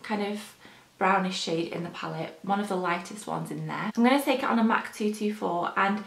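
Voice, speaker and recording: A young woman with a slightly hoarse morning voice, chatting casually through her makeup routine as a beauty vlogger. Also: medium pitch, fairly quick, a bit bright, echoey room. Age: young adult. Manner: chatty, rambling, frequent ums. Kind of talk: casual chat while applying makeup.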